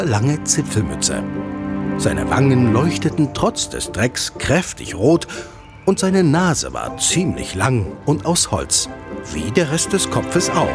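A man narrating a scripted children's audio drama as an expressive storyteller with deliberate phrasing. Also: mid-range voice.

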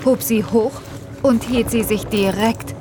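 A woman's voice: fairly high pitch, steady tone, measured pace. A woman narrating a children's audio drama in a scripted storybook style. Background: music.

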